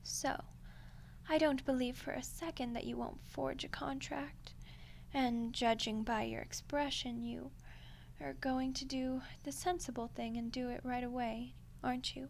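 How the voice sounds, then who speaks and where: A woman playing a smug, condescending female archdemon in a soft-spoken ASMR roleplay, unhurried and menacingly polite. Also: high voice, fairly even intonation, creaky, a little hiss or hum. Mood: smug, pleased. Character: ancient female archdemon, smug, coolly seductive deal-maker.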